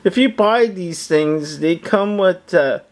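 A man giving a casual, halting product demonstration as a seller, often repeating himself, recorded indoors on a handheld camera. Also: high voice. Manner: halting, repetitive, plain-spoken. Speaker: online seller demonstrating an item. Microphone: handheld camera, speaker off screen behind it.

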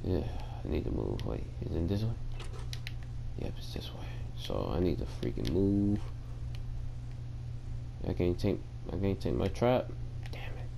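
A tired young man with a gravelly voice, chatting casually to his viewers in laid-back slang while commenting on his gameplay. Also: sits low, very animated, measured pace, long pauses, background noise. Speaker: gaming channel host. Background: game music.

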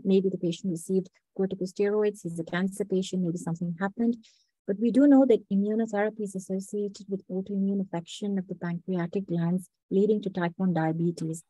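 A woman with a hoarse voice, recovering from flu, presents a medical case over a video call at a measured pace, with frequent hesitations. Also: mid-range voice, mellow, no room sound, rough recording. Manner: measured, methodical, frequent "uh" fillers. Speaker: clinical research fellow presenting a case. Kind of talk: online medical lecture.

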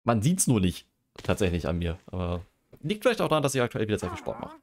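A man's voice: lowish voice, wildly swooping pitch, quick pace. A young man streaming a game, chatting casually and joking while he reads quiz questions aloud and muses over his answers. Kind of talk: casual livestream chat while gaming.

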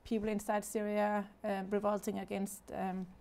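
A woman's voice: mid-range voice, completely flat delivery, moderate pace, a little mellow. A woman from Denmark with a Danish accent, speaking as a guest in an earnest studio interview, with many fillers and restarts. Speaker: charity founder, guest. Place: TV studio set.

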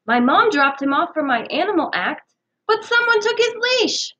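A woman voicing an earnest girl at summer camp in a storybook, emphatic and expressive. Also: very high-pitched, moderate pace, dull audio, voice pushed up, exaggerated voice. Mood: flustered.

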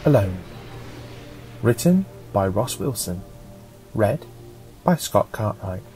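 A man's voice: fairly low pitch, sing-song, slow, music in the background. Male audiobook narrator, dramatic storytelling.

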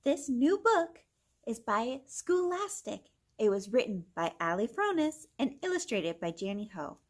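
A young adult woman hosting a children's read-along, reading a picture book aloud in an exaggerated, animated manner for small children. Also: fairly high pitch, unhurried. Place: small indoor room with bookshelf.

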